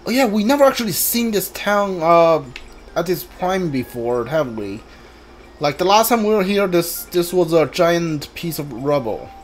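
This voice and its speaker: A man giving casual video game commentary, thinking aloud in a halting way and reading bits out as he goes. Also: fairly high pitch, expressive, faint music behind. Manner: halting, mutters to himself, reads guide and subtitles aloud.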